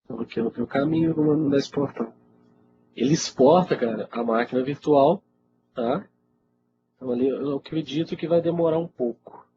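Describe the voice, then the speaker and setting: Casting: Brazilian male IT instructor, congested, casual Q&A delivery, medium pitch, muffled noisy webinar audio. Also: normal speed. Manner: informal, conversational, frequently checks in with listeners. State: congested with a cold. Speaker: IT instructor answering webinar questions. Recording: dull lo-fi webinar audio, loud noise.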